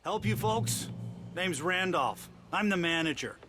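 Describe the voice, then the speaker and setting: Folksy, swooping trailer park manager. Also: male voice, high voice, animated, a little slow, background noise. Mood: folksy, helpful. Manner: folksy, chatty. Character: folksy, God-fearing small-town trailer park manager. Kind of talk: video game cutscene acting.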